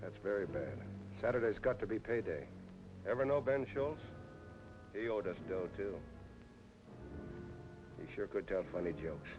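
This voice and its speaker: An actor playing a sly, menacing crime boss in terse film noir style, on an old soundtrack. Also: male voice, fairly low pitch, animated, lo-fi sound, over music, lightly acted. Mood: quietly menacing. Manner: terse, slow-burning veiled threats. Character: menacing crime boss, soft-spoken, falsely hurt and sly. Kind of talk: mid-century film noir acting.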